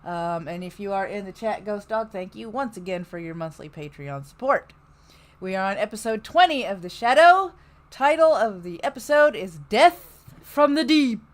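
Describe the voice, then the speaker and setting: A woman's voice: mid-range voice, lively intonation, moderate pace. A woman hosting a podcast in a casual, chatty way, with sarcastic heckling and some mild swearing. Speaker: podcast host. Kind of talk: casual live chat commentary on old radio shows.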